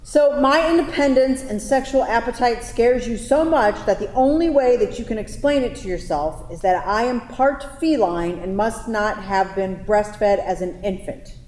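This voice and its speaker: A woman in a staged play reading, playing a sharp-tongued, sarcastic and exasperated young girlfriend in an echoey hall. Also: fairly high pitch, normal speed, few pauses, lightly acted. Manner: sarcastic, profane, incredulous. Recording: echoey theatre hall, some background noise.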